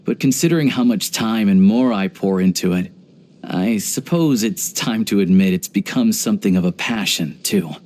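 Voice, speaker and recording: A man voicing a video game character, an earnest, pragmatic young hunter and rifleman who talks in a measured, reflective way. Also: lowish voice, a little mellow, natural voice. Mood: thoughtful.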